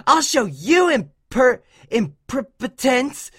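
A woman voicing a bullying, hot-tempered adult female cartoon pony, loud and scornful in a dramatic story reading. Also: sits high, sing-song, takes it slowly, slightly rough, exaggerated voice. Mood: scornful, exasperated. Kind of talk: dramatic fan-fiction character acting.

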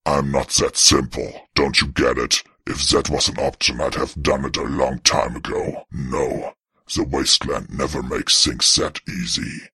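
Centuries-old ghoul beast, extremely low rasping growl, forceful edge, weary, menacing, resigned, audiobook fantasy creature. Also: male voice, level tone, measured pace, no echo, clean studio sound, full character voice. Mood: gruff, resigned. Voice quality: gravelly, harsh. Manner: resigned, gruff, casual menace. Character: weary, menacing two-hundred-year-old male undead ghoul beast.

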